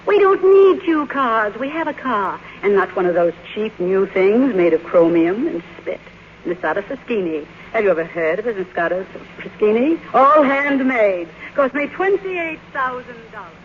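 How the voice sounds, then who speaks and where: An actress playing an imperious, deluded, aging silent-film star in a haughty, theatrical 1950s radio drama style. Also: female voice, fairly high pitch, sing-song, moderate pace. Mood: haughty dismissal. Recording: dull, noisy 1950s radio recording.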